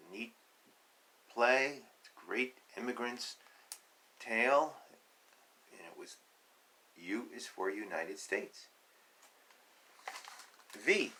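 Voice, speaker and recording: A man reads a children's book aloud in a slightly low-pitched voice with a bright, forceful edge. He has a teacherly manner, setting out key words emphatically and adding plain, simple asides to explain them. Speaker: reader of a children's picture book.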